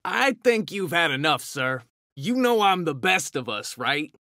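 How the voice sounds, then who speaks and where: Bright, cocky New turtle brawler. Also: male voice, a little high, big pitch swings, measured pace, dry recording, clean studio sound, exaggerated voice. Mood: cocky, taunting. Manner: brash, slangy trash talk. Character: cocky hot-tempered young male mutant ninja turtle brawler. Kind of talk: video game fighter taunts.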